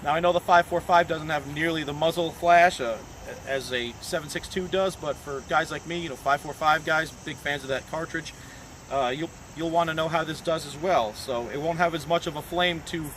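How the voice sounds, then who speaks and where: A man's voice: on the high side, fairly bright tone. A man casually talking through a firearm test outdoors at night, plainspoken and thinking aloud. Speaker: gun reviewer filming a test. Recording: noisy outdoor night recording.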